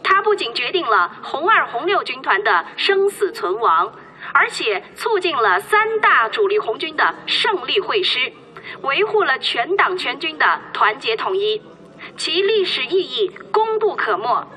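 A woman's voice: sits high, sing-song, measured pace, sharp tone, exaggerated voice. A woman playing a proud museum guide explaining history formally to visitors in a radio drama.

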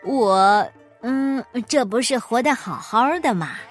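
A woman's voice: on the high side, takes it slowly, soft background music. A woman playing a sly, teasing trickster. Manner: calm, teasing.

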